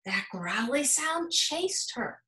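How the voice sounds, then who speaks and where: An American woman, a school principal, reading a children's story aloud in an animated, emphatic way. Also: high-pitched, slow. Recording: roomy, echoing sound.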